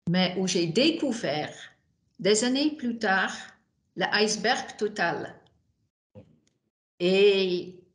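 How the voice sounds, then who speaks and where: A woman whose first language is not French, giving testimony on a video call, halting with many restarts and fillers. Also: mid-range voice. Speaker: witness, widow of a manager. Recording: echoey room on a video call.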